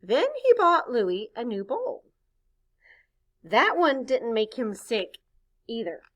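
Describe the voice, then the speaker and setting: A woman reading a children's story aloud expressively, pausing to chat with her listeners. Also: a little high. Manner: expressive, pauses to point things out and ask questions. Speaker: storybook reader.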